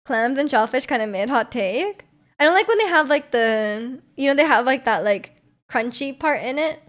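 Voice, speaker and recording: Chatty young woman streamer, fairly high voice. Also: expressive, moderate pace, a bit bright. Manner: rambling, many fillers and restarts, frequent laughter. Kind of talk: casual chat reading viewer comments.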